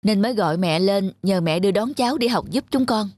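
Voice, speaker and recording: A woman's voice: medium pitch, expressive, a little fast, a little mellow, dry recording, close to an everyday voice. A woman voicing a patient but firm young father in a children's cartoon, speaking in an earnest, explanatory manner. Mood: explaining. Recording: music behind the voice. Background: background music.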